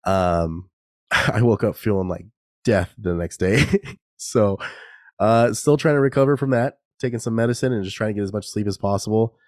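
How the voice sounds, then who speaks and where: Congested man with a deep dry voice. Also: moderate pace, no echo. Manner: rambling, self-deprecating, laughs often. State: congested and nasal from a cold. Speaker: podcast host. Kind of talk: casual solo podcast chat. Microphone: new podcast microphone.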